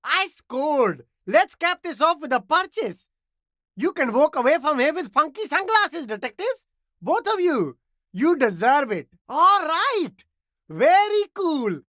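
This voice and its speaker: An actor playing an immigrant street vendor in a video game, an eager, defensive hustler with ingratiating, evasive sales patter. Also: male voice, very high-pitched, very animated, a little slow, pushed and bright, no echo, clean studio sound, heavily acted. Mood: eager, salesy. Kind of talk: video game character dialogue.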